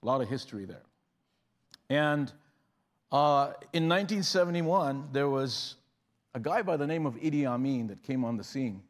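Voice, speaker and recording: A man's voice: mid-range voice, lively intonation, normal speed. A man preaching a sermon in a conversational style, often restarting phrases and rising in bursts of emphasis. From Uganda. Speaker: guest preacher.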